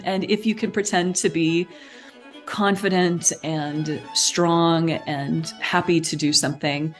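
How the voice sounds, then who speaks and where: A woman guest explaining things conversationally and expressively, acting out little examples as she goes. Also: sits low. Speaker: podcast guest, artistic director and writer.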